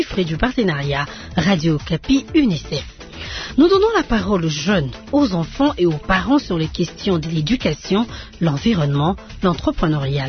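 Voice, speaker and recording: A woman hosting a radio magazine, presenting in a scripted, announcing manner. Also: on the low side. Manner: lively, announcing. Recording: muffled radio broadcast with music underneath.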